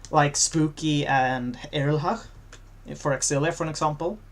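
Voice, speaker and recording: A man commentating a live online game match, relaxed and joking as he explains the format. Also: on the high side. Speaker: esports commentator.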